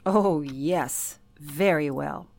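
A woman's voice: sits low, drawn-out words, creaky, a little mellow, no room sound, heavily acted. A woman playing a reserved elderly witness recalling an old memory in a radio play interview, hesitant and reflective.

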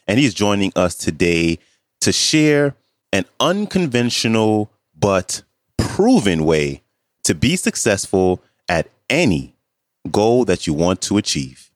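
A man hosting a podcast, introducing a clip in a measured way, his voice a bit stuffy from allergies. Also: sits low, dry recording, clean studio sound. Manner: slow, measured delivery. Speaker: podcast host. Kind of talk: scripted show introduction.

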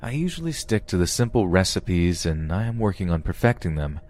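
A man's voice: sits low, very animated, a little fast, a little mellow. A man voicing a genial, unnervingly comfortable male kidnapper who loves to cook, coaxing in a theatrically pleasant way in a narrated story. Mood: cheerful, apologetic.

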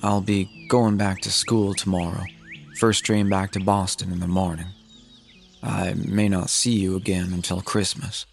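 A man playing a polite, earnest young suitor in a period audio drama, speaking gently and with restraint. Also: low-pitched, flat delivery, a little slow, gravelly. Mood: earnest, courteous. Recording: studio voice under loud music.

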